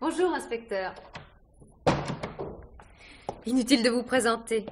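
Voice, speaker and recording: An actress playing a self-possessed, sardonic theatre star under suspicion in a 1950s-style French radio mystery, with a dry, poised delivery. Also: female voice, fairly high pitch, brisk, background noise, natural voice. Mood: cool, teasing. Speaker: radio drama actor.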